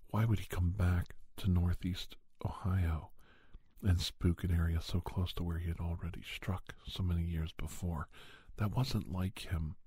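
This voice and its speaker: A man playing a paranormal investigator who recounts his cases in soft, breathy, halting first-person ASMR narration. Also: deep voice, no echo, heavily acted. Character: male paranormal investigator doctor recounting monster cases, unhurried. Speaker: storyteller.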